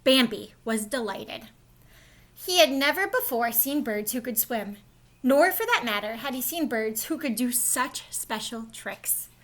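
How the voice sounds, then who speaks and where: Female storyteller for young kids, high-pitched and bright, expressive read-aloud delivery. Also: a little slow, a little hiss or hum. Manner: expressive, explains to young listeners. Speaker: storybook reader, school staff.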